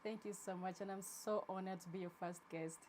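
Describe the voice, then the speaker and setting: A woman in her thirties from Uganda, a guest on an interview, telling her story in a relaxed, soft-spoken way. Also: mid-range voice, brisk, slight background noise. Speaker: interview guest, charity co-founder. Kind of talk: casual interview.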